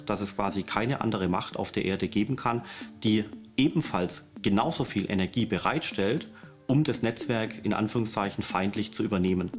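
A man in his forties explaining things as an expert interviewee. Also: on the low side.

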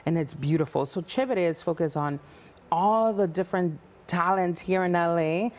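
A woman in her thirties from El Salvador, a nonprofit founder talking passionately as a guest in a TV news studio on a lapel mic. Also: on the low side. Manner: passionate, fast-flowing, animated hand gestures. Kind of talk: TV studio interview.

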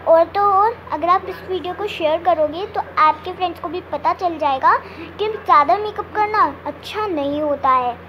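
A young girl hosts a children's story channel in a very high voice. She addresses her viewers directly into a phone, with some noise on the recording. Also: moderate pace, a bit bright. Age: child, under twelve. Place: small home room indoors. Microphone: phone at arm's length.

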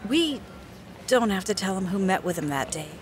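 A woman's voice: mid-range voice, animated, moderate pace, close to an everyday voice. An actress playing an earnest, concerned woman in an audio drama, probing in a conversational way. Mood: secretive, puzzled.

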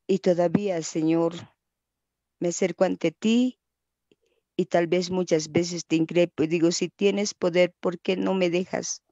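A woman praying aloud in an earnest, pleading way, often fading softly at the end of each phrase. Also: on the low side, fairly even intonation, measured pace, no echo, muffled recording. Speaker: person praying. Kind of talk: spontaneous devotional prayer.

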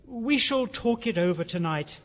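An actor playing a genial, avuncular country gentleman in a radio drama, hearty and slyly affable. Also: high voice, expressive, measured pace. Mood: hearty, reassuring. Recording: dull, noisy old radio-play sound.

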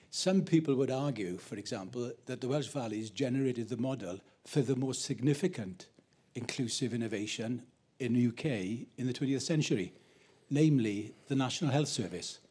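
Husky, mellow man posing a challenge. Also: mid-range voice. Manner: courteous, pointed challenge. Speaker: audience member. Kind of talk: conference question.

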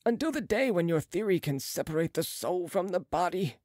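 Guy doing a sneering skeptic doctor in an audiobook, high voice, preachy and swoopy. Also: lively intonation, fairly quick, a little mellow, no echo, studio quality. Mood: scornful, lecturing. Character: sceptical, scornful male physician with materialist views. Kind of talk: audiobook character voice.

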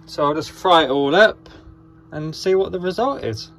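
A man's voice: fairly high pitch, moderate pace. A man in his thirties, a travel vlogger, chatting casually and hesitantly to camera about cooking and where to camp. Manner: relaxed, with many ums.

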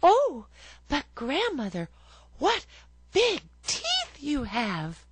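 A woman voicing a cheerful, innocent young girl in a read-aloud fairy tale, with a bright, sing-song delivery. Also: sits high, wildly swooping pitch, very slow, no room sound, voice pushed up, exaggerated voice. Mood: wondering.

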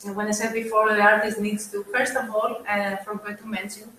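A woman's voice: medium pitch, monotone, a little fast, background noise. A woman speaking at a panel discussion about working with artists, rambling and often restarting her sentences. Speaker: panel speaker, music manager. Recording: very echoey distant live feed with faint ambience.

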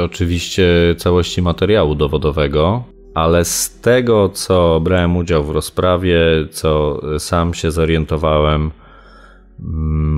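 A man with a very deep voice talks in an unscripted, conversational way. He is measured and hesitant, like an interviewed journalist searching for words. Faint music plays underneath. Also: a little mellow. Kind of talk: casual spoken recollection.

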